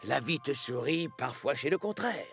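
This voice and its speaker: A man's voice: medium pitch, lively intonation, normal speed, creaky, fairly bright tone, faint music behind. A man voicing a kindly, jolly old steam engine in a children's cartoon, reassuring and exclamatory. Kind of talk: dubbed children's cartoon dialogue.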